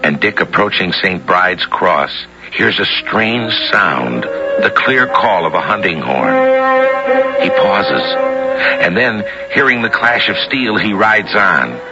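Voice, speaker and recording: Deep-voiced male radio drama host. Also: animated, slow pace. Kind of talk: scripted dramatic narration. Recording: dull old radio broadcast, loud music behind. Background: loud music.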